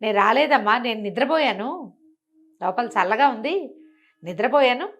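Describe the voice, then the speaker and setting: A woman's voice: on the high side, expressive, normal speed, fairly bright tone. A storyteller woman plays a village boy in a bedtime tale. He is innocent and sleepy, answering his mother plainly and with some bewilderment. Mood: bemused.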